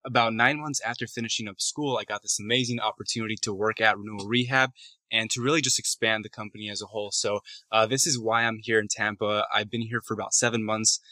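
A man's voice: lowish voice, steady tone, fast talker, pushed and bright, dry recording. A young man in his twenties from Puerto Rico, a physical therapist co-hosting a podcast, speaking politely and earnestly. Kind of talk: casual podcast chat.

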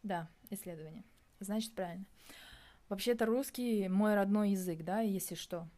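Young woman, mellow voice, casual vlog musing, halting and searching for words. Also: medium pitch. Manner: thinking aloud, hesitant with many pauses. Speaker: vlogger. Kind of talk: casual reflective monologue.

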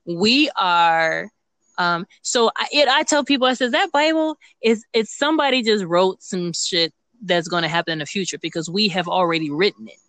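A woman's voice: on the low side, animated, moderate pace, a bit bright, no room sound. A woman in casual spiritual discussion, speaking informally and repeating herself for emphasis.

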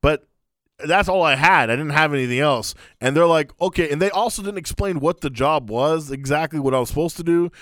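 A man's voice: a little fast, dry recording. A man in his thirties, a podcast co-host, telling a story in casual chat and acting out the people he quotes. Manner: animated storyteller, acts out quoted people in a higher voice.

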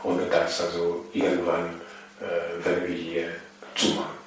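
A man's voice: sits low, monotone, unhurried. A man from Togo whose first languages are Ewe and Mina, speaking as an interview guest with frequent fillers and emphatic repetitions. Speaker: interview guest, initiative member. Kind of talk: conversational interview. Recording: far from mic, echoey room, faint noise.

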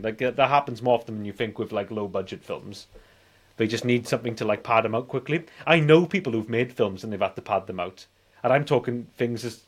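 Emphatic British man in podcast chat. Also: mid-range voice. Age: 40s. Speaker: film review podcast co-host. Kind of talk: casual film discussion.

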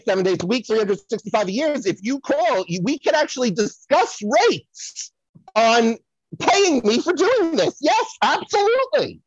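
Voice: mid-range voice, dry recording, lo-fi sound, exaggerated voice. An actor playing a scatterbrained, self-promoting psychic in a comedy audio sketch, rambling and stalling theatrically. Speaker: psychic guest on a podcast. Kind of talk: comic improvised audio sketch.

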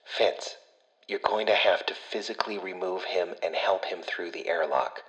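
A man acts out an anxious, caring computer aboard a spaceship in an audio story. The voice is deep and hushed, coaxing and urgent, with a wry, familiar touch. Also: moderate pace, low-quality recording. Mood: urgent, firm.

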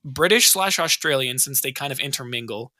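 Podcast co-host, man, very bright tense tone, dry close mic, jokey and profane. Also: medium pitch, animated, fairly quick, no room sound. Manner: joking, profane, rambling. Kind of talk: casual podcast chat.

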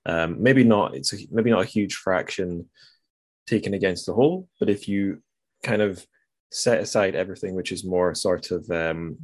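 A man's voice: low voice, normal speed, breathy edge, no room sound. A man hosting a casual podcast discussion, speaking in a tentative way and often qualifying what he says. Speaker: podcast host.

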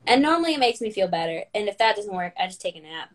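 A woman's voice: mid-range voice, sing-song, fast. A teenage girl, a guest on a podcast, talking in a hesitant, self-deprecating way in casual chat.

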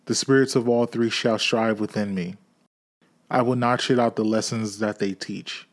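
A man's voice: fairly low pitch, moderate pace, close to an everyday voice. A man doing giddy old Scrooge. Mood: pleading. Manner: excited, exclamatory, raised voice. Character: old male miser, giddy and overjoyed, redeemed.

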